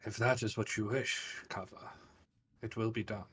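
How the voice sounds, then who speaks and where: A man voicing a benevolent old elven god, a courtly gentleman with a formal, measured and gracious way of speaking, in a tabletop fantasy game. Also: fairly low pitch, fairly even intonation, breathy edge. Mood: solemn, benevolent.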